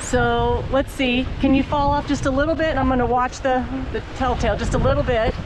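A woman's voice: high-pitched, steady tone, a little fast. A middle-aged woman hosting a sailing vlog, chatting and explaining step by step from aboard a small boat on a lake. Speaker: sailing channel host. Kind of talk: casual sailing vlog narration. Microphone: action camera on the boat. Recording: wind noise on camera mic. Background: wind and water noise.

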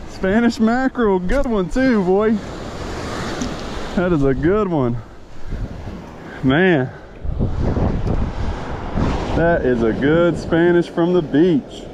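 A man, hosting a casual fishing vlog, chatty and excitable when a fish hits, filmed on an action camera outdoors on a beach. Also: high-pitched, takes it slowly, pauses often, lo-fi sound. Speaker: fishing channel host. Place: open ocean beach outdoors in wind and rain. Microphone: action camera, chest or handheld. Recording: windy beach with loud surf noise.

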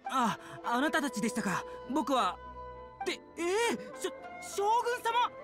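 An actor playing an anxious young clan retainer in an anime game, humble, apologetic and worried. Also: male voice, very high-pitched, huge pitch swings, a little slow, over music, full character voice. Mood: startled. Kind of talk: Japanese anime game voice acting.

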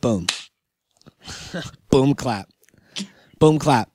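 A man's voice: medium pitch, expressive, very slow, dark tone, slight background noise. A man hosting a podcast in a casual, chatty style. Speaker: podcast host. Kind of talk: casual chat.

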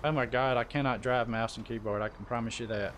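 A man's voice: mid-range voice, steady tone, fast talker, a bit bright. A man chatting casually as he hosts a live game stream, talking to teammates and viewers. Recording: noisy game-stream audio, vehicle sounds behind.

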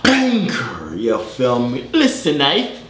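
Animated young man in an echoey room. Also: takes it slowly, noisy background. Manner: swings between a loud high-pitched mock British voice and a low casual drawl. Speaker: comedy video host. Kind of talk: comedic vlog with a put-on British accent. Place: bedroom studio, indoors.

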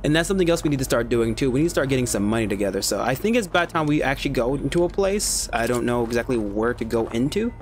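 A man's voice: medium pitch, lively intonation, fast, hardly any pauses, a little hiss or hum. A man streaming a video game, chatting casually and swearing freely as he plays. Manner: laid-back, swears freely. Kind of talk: casual gameplay commentary.

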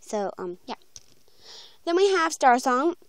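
Young female vlogger, very high smooth voice, informal and rambling, recorded close and dry on a phone. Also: sing-song, takes it slowly, dry recording. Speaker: video creator announcing voice auditions. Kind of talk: casual chatty vlog. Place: small room at home, bedroom. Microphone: handheld phone, close by.

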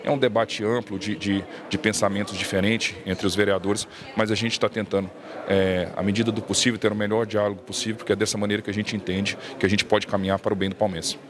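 A man in his thirties with a Brazilian accent answering a reporter's questions into a handheld mic, steady and earnest with filler restarts. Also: on the low side, quick pace, crowd noise. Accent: Brazilian Portuguese. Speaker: city councilman interviewed. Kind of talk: press interview. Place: indoor legislative hallway.

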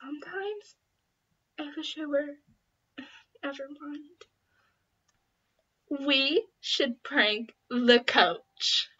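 Casting: amateur female voice actor, high pitch, unhurried audition reads, slightly dull audio. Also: animated, sharp tone. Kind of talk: acted audition lines in various characters.

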